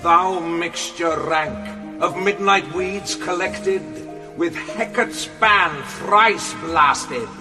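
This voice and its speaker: An actor playing a sinister stage murderer who intones dark, incantatory verse in a deliberate, theatrical way in a classical audio drama. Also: male voice, high voice. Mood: rising incantation. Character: sinister male stage murderer, a poisoner invoking dark magic. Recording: distant miking, prominent music behind.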